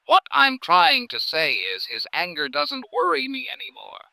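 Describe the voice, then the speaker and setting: A man's voice: extremely high pitch, wildly swooping pitch, measured pace, gravelly, pushed and bright, dry recording, exaggerated voice, rough recording. An American actor playing a troubled, resolute husband who is walking away from his life, in an intense theatrical 1950s radio drama style. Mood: weary, resolute.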